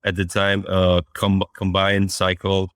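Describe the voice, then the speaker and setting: A man's voice: sits low, completely flat delivery, measured pace, fairly bright tone, no room sound, studio quality. A man from Costa Rica, a startup founder, answering interview questions hesitantly and repeating words. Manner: hesitant, repeats words before finishing thoughts.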